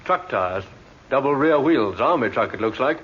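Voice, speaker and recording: A man's voice: mid-range voice, lively intonation, moderate pace, lightly acted. A man acting as a terse, sober police detective in an old radio crime play. His mid-range voice is matter-of-fact, on a muffled recording with faint noise. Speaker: police inspector.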